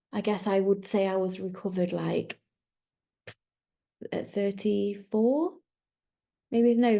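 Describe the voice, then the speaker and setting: Podcast host, woman, 30s, mellow and slightly gravelly, rambling solo chat. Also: medium pitch. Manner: chatty, rambling, self-correcting.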